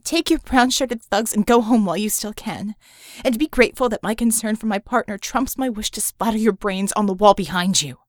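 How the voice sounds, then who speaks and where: A woman voicing a tiny, defiant, sarcastic heroine in an audiobook, with sharp, snarling, emphatic delivery. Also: mid-range voice, fairly quick, no echo, clean studio sound, lightly acted.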